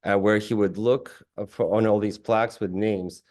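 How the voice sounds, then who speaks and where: Low-voiced man from Russia presenting his work. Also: steady tone, moderate pace, darkish tone. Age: late 30s. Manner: thoughtful, with frequent restarts and fillers. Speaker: guest artist giving a talk.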